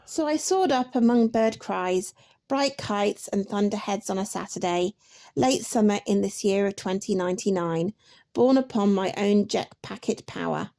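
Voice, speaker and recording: A woman reading literary excerpts aloud as a narrator, in a measured, lyrical way. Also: mid-range voice, creaky, warm tone. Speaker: reader of book excerpts.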